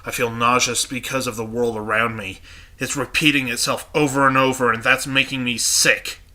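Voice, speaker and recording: A man's voice: medium pitch, fairly even intonation, moderate pace, bright, forceful tone. A man narrating as an anxious schoolgirl. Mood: uneasy. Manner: reading inner monologue aloud. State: congested. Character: anxious young female student, uneasy and haunted.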